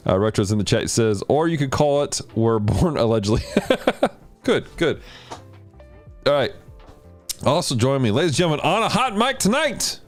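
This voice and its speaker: Lively man hosting a gaming stream. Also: normal speed, pauses often, soft background music. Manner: animated, jumps in pitch when greeting chat. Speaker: host of a gaming and Bible study stream. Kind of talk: casual livestream chat and Bible teaching.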